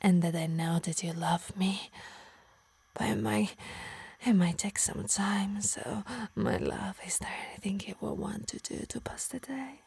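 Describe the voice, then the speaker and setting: A woman plays a yandere harpy, doting on her beloved while quietly controlling her. The voice is breathy and mellow with a creaky edge, often dropping into whispers. It is intimate ASMR roleplay, teasing and menacing by turns. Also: mid-range voice, a little slow, no room sound, heavily acted. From Italy. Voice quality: breathy, soft. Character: possessive yandere female harpy, doting yet menacing lover. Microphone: close, dry microphone.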